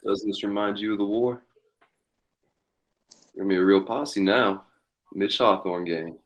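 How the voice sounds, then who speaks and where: A man's voice: on the low side, measured pace, lo-fi sound, lightly acted. A man playing a haunted war-veteran leader of an outlaw gang in an amateur western table read. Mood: swaggering.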